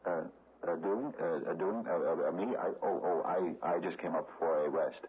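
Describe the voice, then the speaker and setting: An actor plays a timid cost analyst who stammers and trips over his words. His voice sits deep and low. It comes through a thin, phone-like broadcast with a faint hiss. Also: moderate pace, lightly acted. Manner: stammering, hesitant, full of uh's. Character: nervous, stammering middle-aged cost analyst. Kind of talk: 1970s radio drama acting.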